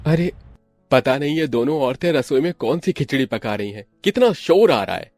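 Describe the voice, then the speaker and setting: A man's voice: medium pitch, expressive, normal speed, a little mellow, no echo, soft background music, natural voice. A man voicing a grumbling, exasperated man of the household in a cartoon story, with a complaining manner. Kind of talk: Hindi cartoon story acting.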